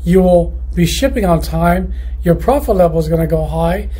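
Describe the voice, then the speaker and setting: A man who runs a loudspeaker company, talking through his factory's quality control in a rambling, casual way, often restarting his sentences. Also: high-pitched, moderate pace, husky. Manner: rambling, many restarts and self-corrections. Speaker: loudspeaker manufacturer. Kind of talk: casual explanatory monologue.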